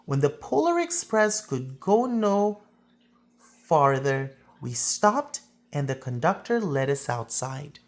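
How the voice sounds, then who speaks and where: A woman with a low voice narrates a children's storybook. She takes it slowly, phrasing each part deliberately and dramatically, with very lively swings in pitch. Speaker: storybook reader.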